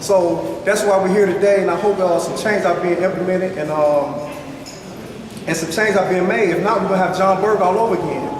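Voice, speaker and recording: Fast-talking high-voiced man, echoey hall. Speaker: community leader and rights educator speaking at press conference. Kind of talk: public personal testimony. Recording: very echoey hall, distant mic, noisy.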